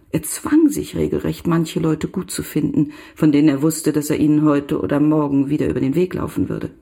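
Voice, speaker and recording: An actress in a radio drama playing an earnest confidante who recalls a troubled writer in a reflective, precise way. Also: female voice, deep voice, creaky, mellow. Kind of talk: literary radio drama monologue.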